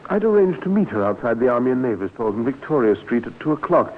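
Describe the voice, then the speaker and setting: A mild, courteous English gentleman acted by a man in a vintage radio play. His intonation is very varied, hesitant and quietly concerned. The recording is thin and tinny. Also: medium pitch, sing-song, quick pace, slight background noise, close to an everyday voice. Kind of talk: 1950s radio drama acting.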